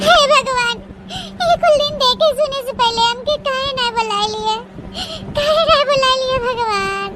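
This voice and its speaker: Piercing, wailing young bride. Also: female voice, very high-pitched, measured pace, fairly bright tone. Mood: tearful, despairing. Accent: Awadhi. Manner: wailing, tearful lamenting. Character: wretched young bride, tricked and self-pitying. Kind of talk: comic cartoon melodrama. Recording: very noisy cartoon voice track.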